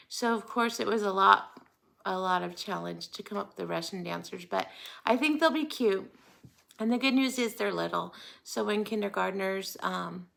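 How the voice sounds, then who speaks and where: A woman's voice: mid-range voice, creaky, sharp tone. An American woman chatting casually to viewers as host of a hobby vlog, in a friendly, talkative manner. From United States. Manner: chatty, friendly greetings and asides. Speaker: host of a cross-stitch video channel.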